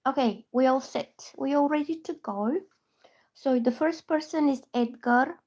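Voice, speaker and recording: A woman with a slightly high voice talks loosely into her phone at home. She has just woken up and meanders from one viewer to the next in easy, casual chat. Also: a little slow, darkish tone. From Bulgaria. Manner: chatty and meandering, reads viewer names aloud. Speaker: tarot reader.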